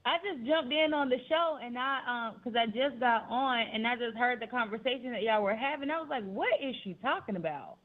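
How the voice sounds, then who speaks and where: Lady caller on a phone line, high voice, talking quick. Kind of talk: casual chat.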